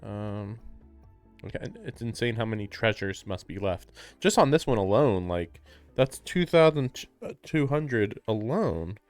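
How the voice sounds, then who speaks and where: A man's voice: lowish voice, lively intonation, unhurried, no echo. A man livestreaming a game with casual, amused commentary, rambling to himself as he goes. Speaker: live streamer. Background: game music.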